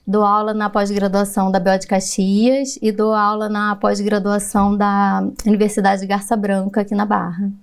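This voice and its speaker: A woman's voice: mid-range voice, completely flat delivery, normal speed, few pauses, clean tone. A woman, a pediatric dentist explaining her work in a podcast interview, patiently and with frequent laughter.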